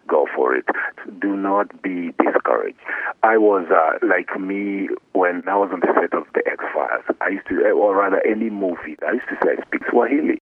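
A man's voice: low-pitched, breathy edge, no room sound, narrow, tinny audio. A man speaking casually as an interview guest, in an encouraging way that repeats phrases for emphasis. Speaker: guest actor and musician being interviewed.

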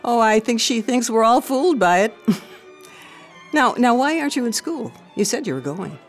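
A woman acting in a radio drama as a concerned, compassionate society aunt, earnest and expressive in her delivery. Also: a little high, normal speed, soft background music. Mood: surprised, sociable.

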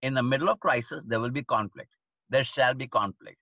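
A man's voice: medium pitch, brisk, bright, forceful tone, no room sound, highs cut off. A man giving a livestreamed leadership talk, stressing his points by repeating key phrases. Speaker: leadership speaker.

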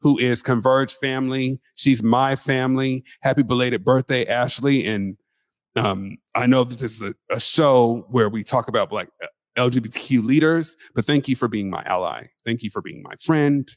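A man hosting a casual chat show, lively and animated in his talk. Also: medium pitch, normal speed, no room sound. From Pacific Northwest. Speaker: host.

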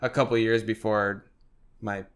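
A man's voice: on the low side, flat delivery, a little slow, smooth. A man in his twenties, born in Mexico, chatting loosely as a podcast host, with lots of fillers and casual swearing. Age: 20s. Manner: loose and conversational, many "like" fillers, swears freely. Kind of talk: casual chat.

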